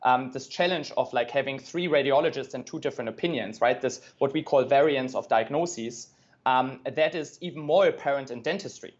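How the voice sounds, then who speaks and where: A man's voice: medium pitch, brisk, dull audio. A man from Germany with a German accent, speaking as an interview guest, earnest and often adding "right?". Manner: earnest, frequent "right?" tags. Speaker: guest, tech company founder. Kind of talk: interview conversation.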